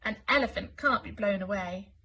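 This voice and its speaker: A woman's voice: mid-range voice, normal speed, bright, forceful tone, breathy edge. A woman voicing a cheerful, mischievous male elephant in a children's picture book, playful and exclaiming. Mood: playful, teasing.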